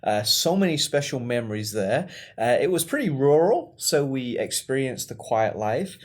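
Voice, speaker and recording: Man, English accent with an American tinge, podcast co-host, casual chat, joking, middle pitch. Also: big pitch swings, moderate pace. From England. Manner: jokey, laughs often, chatty.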